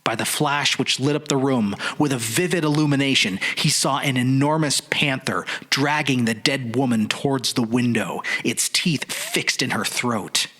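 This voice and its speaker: A man giving a suspenseful dramatic reading of a horror story, with deliberate phrasing and pauses. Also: mid-range voice, pushed and bright. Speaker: podcast narrator.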